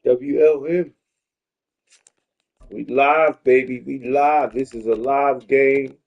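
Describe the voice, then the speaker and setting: A man's voice: medium pitch, steady tone, slow, lo-fi sound. A man in his fifties trash-talking an opponent while streaming a live football video game, profane and boastful, repeating his taunts. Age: 50s. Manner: repeats phrases. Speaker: gamer streaming online match.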